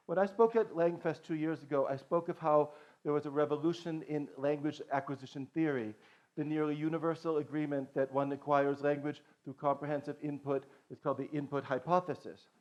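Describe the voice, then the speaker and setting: A middle-aged man from Boston giving an earnest conference talk as a language teacher, lecturing with frequent restarts and "okay"s. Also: a little high. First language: English. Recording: dull lo-fi recording.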